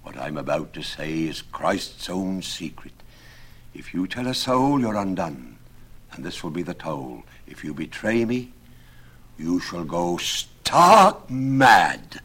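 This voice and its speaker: A man's voice: low voice, sing-song, measured pace, husky, full character voice. A man voicing a sly young scholar who confides and warns portentously in a dramatised verse tale. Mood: secretive, ominous. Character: sly, scheming young male scholar, mock-solemn.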